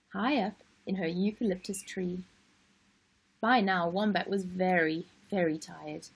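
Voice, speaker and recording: Very husky woman reading slowly, expressively. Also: mid-range voice, a little mellow, low-quality recording. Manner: dramatic pauses and emphasis for children. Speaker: story-time host and reader. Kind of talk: expressive children's storybook narration.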